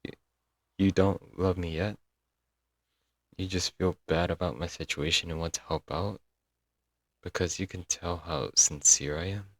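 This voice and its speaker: A man's voice: deep voice, a bit bright, dry recording, studio quality, heavily acted. A man playing a young, lovesick and clingy stalker in an intimate, earnest ASMR audio roleplay. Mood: touched, hopeful. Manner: doting, earnest, dramatic with sighs and chuckles. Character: lovesick, broke young male yandere stalker-kidnapper, clingy and sweet.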